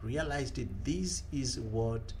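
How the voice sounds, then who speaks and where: A middle-aged man from Kenya with a Kenyan accent, a guest telling his life story in an interview, earnest and halting with many hesitations. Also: mid-range voice, darkish tone, slight background noise. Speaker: guest, leadership trainer. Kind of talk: conversational interview.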